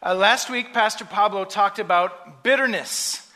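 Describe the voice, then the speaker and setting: A man preaching a sermon in short phrases, pausing so an interpreter can translate. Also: sits high, bright, forceful tone. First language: English. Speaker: pastor. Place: church sanctuary.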